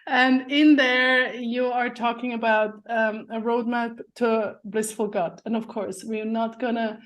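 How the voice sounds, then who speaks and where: Woman podcast host, kinda high voice, talks slow, cheerful, laughs a lot. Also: fairly even intonation, a bit bright. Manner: upbeat, laughs often, slow careful intro. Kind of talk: casual interview chat.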